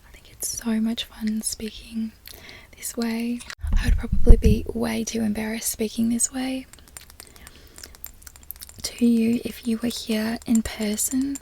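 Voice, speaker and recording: A woman whispering close to the microphone in a put-on Australian accent, chatting in a soft, unhurried way for an ASMR product review. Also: fairly high pitch, flat delivery, creaky, noisy background. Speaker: ASMR creator. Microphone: close sensitive microphone.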